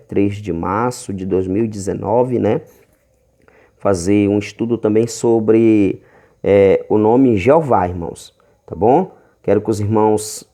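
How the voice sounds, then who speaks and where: A man with a Brazilian accent preaching in a home Bible-teaching video, reading verses slowly and stretching key words, calling listeners brothers. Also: mid-range voice, warm tone. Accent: Brazilian Portuguese. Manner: fervent, calls listeners brothers often.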